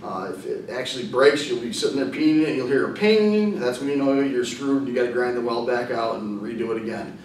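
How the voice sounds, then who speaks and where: A man's voice: lively intonation, a little fast, few pauses. A plain-spoken man in his thirties explaining things as an instructor in an informal whiteboard lesson. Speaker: welding instructor and video host. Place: hard-walled indoor classroom with whiteboard. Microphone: far camera. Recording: echoey room, distant camera microphone, faint rumble.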